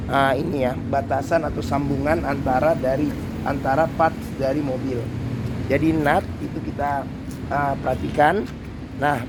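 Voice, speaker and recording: A man with a hoarse voice hosting a walkaround review of a used car, talking unhurriedly with many fillers. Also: medium pitch. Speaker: used car dealer host. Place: car showroom with traffic outside. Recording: loud ambient street and vehicle noise.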